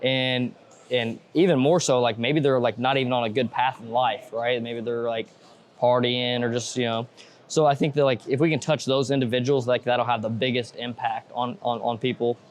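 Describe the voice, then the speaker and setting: A man's voice: medium pitch, fairly even intonation, normal speed. A man hosting a podcast in loose, rambling casual chat, full of restarts. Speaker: podcast host.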